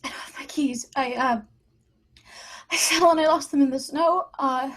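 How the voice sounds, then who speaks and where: An actress playing a frightened, desperate mother in a screenplay table read, halting and pleading. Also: high voice, lightly acted, poor audio. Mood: panicked.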